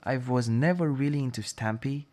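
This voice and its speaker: A man's voice: mid-range voice, normal speed, a little mellow. A young man speaking non-native English with British leanings, rambling through a casual solo monologue full of asides and self-corrections. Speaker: podcast host.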